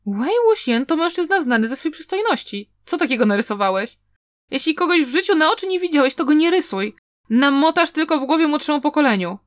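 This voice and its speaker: A woman's voice: a little high, expressive, dry recording, lightly acted. Woman voicing a mocking young cultivator. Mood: indignant. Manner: mocking, quick to argue. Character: sharp-tongued young male cultivator.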